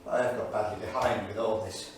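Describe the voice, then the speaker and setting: A man's voice: fairly low pitch, fairly even intonation, fairly quick, natural voice. A man playing a bitter, grieving 1938 academic, reading his journal aloud in a solemn, literary, emphatic way on stage. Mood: haunted. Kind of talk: staged dramatic reading of diary entries. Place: small studio theatre, staged reading. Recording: echoey theatre, distant mic, faint noise.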